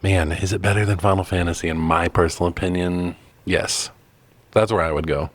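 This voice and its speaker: A man with a deep voice talks as a podcast co-host. His style is casual and laid-back, amused and sometimes profane. The recording is very dry, with no audible room. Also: steady tone, fairly quick, no room sound. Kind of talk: casual film review chat.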